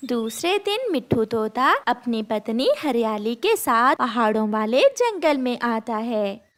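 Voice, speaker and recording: High-pitched woman, slow story narrator. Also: sing-song. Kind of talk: scripted children's story narration.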